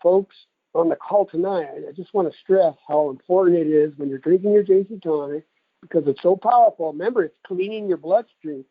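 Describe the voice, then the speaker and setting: A man's voice: high voice, fairly quick, dry recording. A middle-aged American man giving an informal, rambling testimonial sales talk over a telephone conference line. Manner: folksy, rambling, conversational. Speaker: product promoter giving a testimonial on a conference call. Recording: tinny band-limited phone line.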